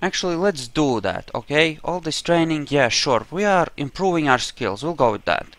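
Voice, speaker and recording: A man's voice: fairly high pitch, sing-song, normal speed, fairly bright tone. A man giving casual commentary on a roleplaying game playthrough, rambling as he thinks aloud, with a dry, wry sarcasm. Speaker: gamer narrating a roleplay playthrough.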